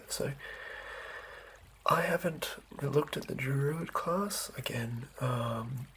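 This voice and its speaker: Man, soft whisper, rambling with fillers, gravelly texture, faint hiss behind. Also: medium pitch. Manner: writes by hand as he talks. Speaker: host of a whispered tabletop character-building channel. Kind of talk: whispered ASMR chat.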